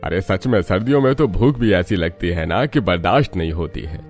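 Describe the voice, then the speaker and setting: Cartoon father-in-law male actor, mild and approving, lower register, faint music underneath. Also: animated, brisk. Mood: approving, easygoing. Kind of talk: Hindi cartoon family-story dubbing.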